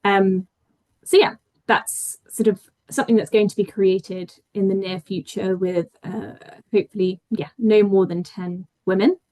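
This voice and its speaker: A woman's voice: medium pitch, fairly even intonation, a little slow, a little mellow. A woman with a British accent, from Spain, presenting her research project in a thoughtful talk with frequent fillers and self-corrections. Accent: British English. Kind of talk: conference talk.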